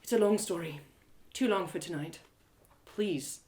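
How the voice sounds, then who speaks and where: A woman's voice: on the low side, a little mellow, lightly acted. Woman playing a guarded, terse warrior woman. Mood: weary, withdrawn. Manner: terse, reluctant, halting. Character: Trinia, guarded, icy, troubled warrior woman. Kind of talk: fantasy audio drama reading.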